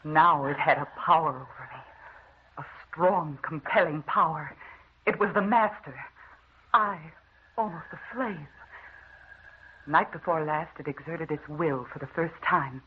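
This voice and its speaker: An actress playing a haunted, weakening wife in a melodramatic 1940s radio drama monologue, anguished and confessional. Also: female voice, deep voice, a little slow, phone-quality sound. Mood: fearful resignation. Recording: thin, hissy old radio recording.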